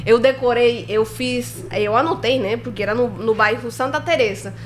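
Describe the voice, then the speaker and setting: A young woman with a Spanish accent and Spanish as her first language, telling her story as a podcast guest in an animated way and acting out dialogue. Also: on the high side, moderate pace, slight background noise. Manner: animated, laughs often, acts out dialogue. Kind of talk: casual storytelling interview.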